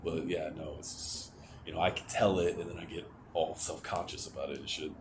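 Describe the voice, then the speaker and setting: A young man with a deep voice venting to his camera, hesitant and rambling, anxious, with casual swearing and sighs. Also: level tone, brisk. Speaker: vlogger. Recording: noticeable background hiss.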